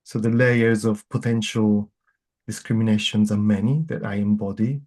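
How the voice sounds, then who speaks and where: A man whose first language is not English, answering as a guest author in a measured, thoughtful way. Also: on the low side, steady tone. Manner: measured, thoughtful, gently earnest. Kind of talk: reflective interview answers.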